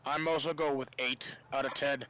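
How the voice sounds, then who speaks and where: A man's voice: mid-range voice, fairly even intonation, moderate pace, pushed and bright, slight background noise. A man chatting casually as a fan on a podcast panel, stammering and repeating words as he goes.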